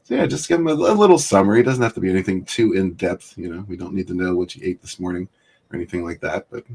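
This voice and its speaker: A man's voice: low voice, fast, creaky, darkish tone, husky. A man hosting a casual livestream chat, greeting viewers by name and laughing often. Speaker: livestream host.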